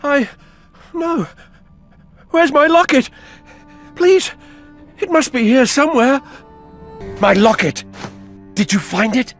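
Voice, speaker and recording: A woman playing a frail, grieving captive in a video game, halting, weak and pleading. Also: high-pitched, soft background music. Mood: anxious, pleading. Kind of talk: video game cutscene acting.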